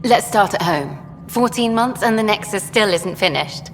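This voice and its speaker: An actress playing an eager, idealistic documentary journalist in a video game, brisk and frank. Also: female voice, mid-range voice, animated, soft background music, natural voice. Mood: probing, pleased. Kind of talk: video game dialogue acting. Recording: long hall reverb, faint noise.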